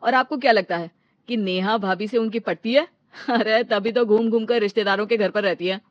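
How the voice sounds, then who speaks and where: A woman's voice: mid-range voice, fairly quick, no echo, lightly acted. Woman voicing an easygoing young married son, muffled. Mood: reasoning.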